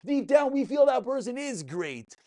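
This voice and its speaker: A man's voice: extremely high pitch, very animated, moderate pace, dark tone. A man giving a passionate, informal religious lesson as a rabbi, emphatic, full of rhetorical questions and repeated phrases.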